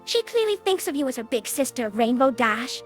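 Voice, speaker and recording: A woman's voice: sits high, very animated, moderate pace, faint music behind. A woman voicing a refined, fussy lady unicorn pony, speaking in a formal, dramatic way in a cartoon audio drama. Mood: probing. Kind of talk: animated cartoon-style audio drama dialogue.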